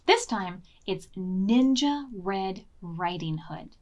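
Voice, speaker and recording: A woman reading picture books aloud to young children as a storytime host, with expressive, deliberate phrasing and hushed dramatic asides. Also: mid-range voice. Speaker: children's librarian hosting preschool storytime.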